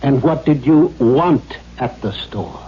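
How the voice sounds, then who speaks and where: A man's voice: mid-range voice, expressive, slow pace, husky, heavily acted. Menacing, wildly swooping domineering father. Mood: mock-gentle, probing. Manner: mock-gentle, formal phrasing, erupting into demands. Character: menacing, domineering father toying cruelly with his daughter. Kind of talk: 1970s radio drama acting. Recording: dull, noisy 1970s radio recording.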